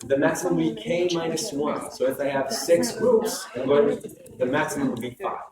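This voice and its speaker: Hoarse man lecturing in an echoey classroom. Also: fairly high pitch, normal speed, a crowd in the background. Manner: repeats points, frequent "okay?" checks. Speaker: university lecturer. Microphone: close microphone. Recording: echoey classroom from far off, noisy.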